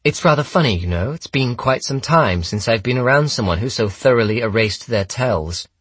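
A man's voice: mid-range voice, expressive, moderate pace, fairly bright tone, slightly rough, no echo, voice pushed up. A man voicing a cool, defiant young woman in an audiobook, with a level, sardonic, taunting delivery. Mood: steely sarcasm. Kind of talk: audiobook character dialogue.

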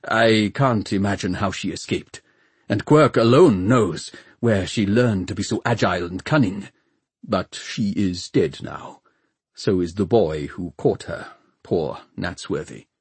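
A man narrating an audiobook plays a proud, troubled father with a short temper. The voice is low and the sound is dry and close. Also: measured pace, husky, no room sound, exaggerated voice. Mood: grave, regretful. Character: troubled, irritable father, proud airship adventurer. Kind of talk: audiobook character voice.

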